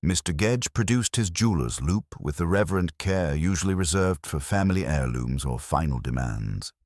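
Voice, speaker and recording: A man narrating a scripted mystery story in a formal, measured way, like an audiobook. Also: low voice, lively intonation, darkish tone, no echo, clean studio sound.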